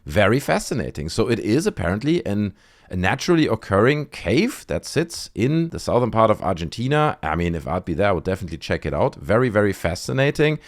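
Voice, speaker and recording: A man's voice: on the low side, big pitch swings, fairly quick. A man hosting an online video, giving casual, conversational commentary on clips with frequent tag questions. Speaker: YouTube host.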